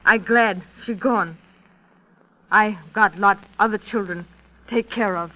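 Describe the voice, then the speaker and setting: An actress playing a stubborn, solemn mother on the witness stand, speaking terse, halting broken English in an old radio drama. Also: mid-range voice, lightly acted. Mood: stolid, halting. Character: stubborn, solemn Native woman, mother giving testimony. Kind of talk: 1930s radio drama acting. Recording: dull old radio recording.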